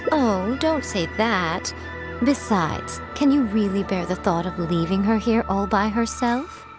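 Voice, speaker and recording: A woman's voice: medium pitch, unhurried, lightly acted. An actress playing an elegant, sly woman of authority in an anime game, coy and teasing. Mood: coaxing, sly. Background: game music.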